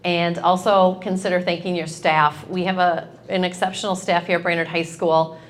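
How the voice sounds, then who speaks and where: A woman's voice: medium pitch, a bit bright. Woman, middle-aged, principal, upbeat, direct, school assembly address, lapel mic. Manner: upbeat, direct, speaks up to a crowd. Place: large school cafeteria with students.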